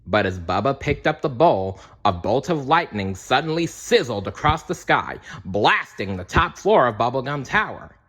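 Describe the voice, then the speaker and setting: A man's voice: mid-range voice, very animated, moderate pace, hardly any pauses, fairly bright tone. A man narrating a children's storybook aloud in a dramatic way, with little asides to young listeners. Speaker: storybook reader.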